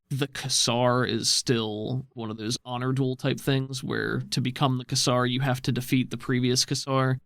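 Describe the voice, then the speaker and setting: Chill guy gm reading out rules, creaky voice, dry close mic. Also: mid-range voice, fairly bright tone, no echo. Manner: easygoing, explanatory, reads rules aloud. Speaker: game master. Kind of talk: narrating and explaining a tabletop game.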